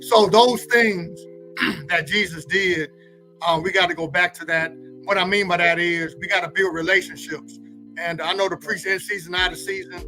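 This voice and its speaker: Male pastor for casual talk show, high bright voice, colloquial and preacherly, faint music behind. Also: fairly even intonation, normal speed, rough recording. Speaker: pastor, guest co-host.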